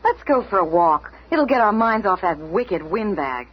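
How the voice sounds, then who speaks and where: An actress playing a concerned, spirited young superheroine in an expressive radio drama style. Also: female voice, mid-range voice, moderate pace, close to an everyday voice. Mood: earnest. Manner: melodramatic, expressive. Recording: very noisy, dull old radio recording.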